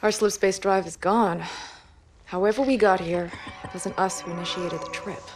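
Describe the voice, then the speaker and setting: An actress playing a brisk, inquisitive professor in a video game cutscene, with a matter-of-fact manner. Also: female voice, mid-range voice, steady tone, natural voice. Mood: urgent, intrigued. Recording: clear background noise.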